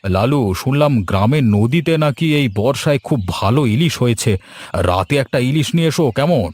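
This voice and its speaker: A man's voice: medium pitch, animated, a little fast, darkish tone, no echo, close to an everyday voice. A man voicing a demanding, gluttonous middle-aged uncle who blusters as a houseguest in a cartoon story.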